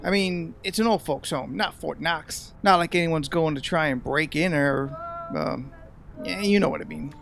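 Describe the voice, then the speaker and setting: A man acts out an awkward front-desk receptionist in an audio drama. He is folksy, halting and apologetic, with a creaky voice and very lively pitch. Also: slight background noise. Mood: awkward, obliging.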